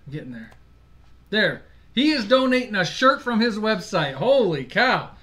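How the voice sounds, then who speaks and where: Male livestream co-host from Michigan; relaxed, talkative, quick to laugh. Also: high-pitched. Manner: chatty, laughs easily, loses his place. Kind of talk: casual live-stream chat.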